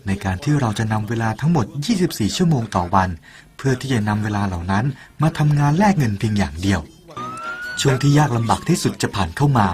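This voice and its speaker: A man's voice: medium pitch, lively intonation, quick pace. A man narrating a parable in an earnest, persuasive way and speaking directly to the listener. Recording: soft music behind the voice.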